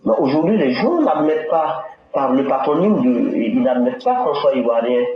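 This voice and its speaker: A man's voice: medium pitch, big pitch swings, moderate pace. A man in an interview, hesitant and full of fillers. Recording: dull roomy audio.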